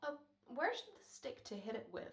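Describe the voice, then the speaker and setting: A woman's voice: fairly high pitch, sing-song, normal speed, a bit bright, roomy sound. A woman playing a dopey, eager, easily distracted young studio helper in an old-time radio comedy. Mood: sheepish. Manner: hesitant, artless, eager.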